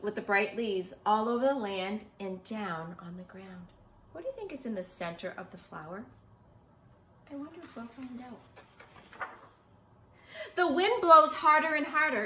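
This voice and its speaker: A middle-aged woman who teaches preschool, reading a picture book aloud expressively and asking young children simple questions. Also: high voice. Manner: expressive, emphatic, child-directed delivery. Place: small living room, indoors on a sofa. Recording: roomy home recording.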